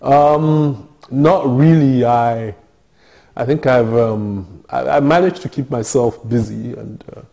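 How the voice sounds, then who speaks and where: Man, middle-aged, dark timbre, hesitant, interview. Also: medium pitch, slow, creaky. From Nigeria. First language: Yoruba. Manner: thoughtful, hesitant, many fillers and restarts. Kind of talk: oral history interview.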